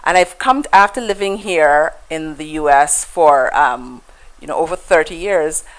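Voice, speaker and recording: A woman's voice: low-pitched, moderate pace, poor audio. A woman with a Guyanese accent, a guest in a conversational interview, telling stories in a reflective way with frequent fillers and restarts.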